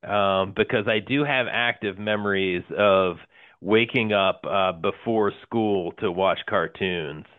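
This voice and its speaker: A man in his forties with a fairly low voice, co-hosting a casual podcast, hesitant and full of fillers. He sounds thin and phone-like, as if over a call line, and the sound is dry and close. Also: takes it slowly, clear, resonant tone, no echo. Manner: hesitant, many "uh"s, easy laughter. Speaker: podcast co-host. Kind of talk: casual chat.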